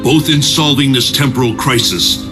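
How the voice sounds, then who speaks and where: A man playing a noble, calm protector god in a video game cutscene, formal and dignified. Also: mid-range voice, moderate pace, over music, natural voice. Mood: reassuring, resolute.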